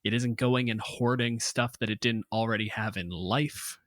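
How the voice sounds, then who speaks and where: A man's voice: medium pitch, steady tone, moderate pace, a bit bright, no room sound, studio quality. A man co-hosting a tabletop gaming podcast, casually analytical, articulate, emphatic and opinionated. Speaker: podcast co-host. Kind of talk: casual analytical discussion of tabletop game monsters.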